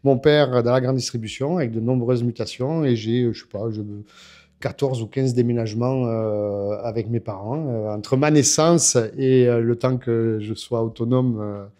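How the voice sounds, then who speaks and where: A man's voice: medium pitch, normal speed, mellow. A man in his fifties from France, telling his story as a guest in a casual interview, with many hesitations and restarts. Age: 50s.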